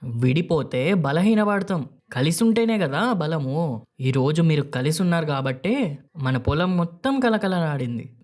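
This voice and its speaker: A man's voice: a little high, a little mellow, close to an everyday voice. An ageing farmer father from a village moral story, acted by a man. He speaks with a Telangana accent, firm and wise. He admonishes his sons with affection and pointed questions. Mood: earnest advice.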